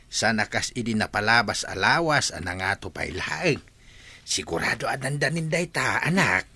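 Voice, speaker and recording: A concerned father figure acted by a man with a sharp, bright voice and lively intonation. The audio drama delivery has music behind it. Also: lowish voice, normal speed, breathy edge, exaggerated voice. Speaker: voice actor. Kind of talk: dramatised audio story acting.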